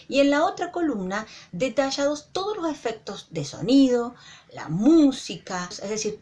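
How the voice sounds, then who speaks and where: A woman with an Argentine accent explaining an assignment to students as their teacher. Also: medium pitch. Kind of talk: instructional presentation to students.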